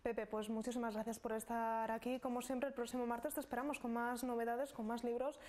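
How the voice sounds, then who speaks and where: A woman with a fairly high voice hosts a TV magazine show at a rapid clip. She moves from question to question and into the breaks in an upbeat presenter's manner. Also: little pitch movement, a little mellow. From Spain. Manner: brisk and upbeat, scripted links. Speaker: TV magazine host. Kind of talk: TV interview hosting.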